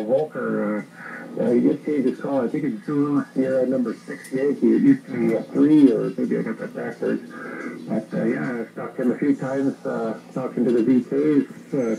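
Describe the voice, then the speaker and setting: A man's voice: medium pitch, vocal fry, dark tone. A Canadian man chatting casually as an amateur radio operator, heard through a shortwave radio transmission. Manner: spells call signs in phonetic alphabet. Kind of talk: casual ham radio chat. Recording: noisy ham radio reception, phone-recorded.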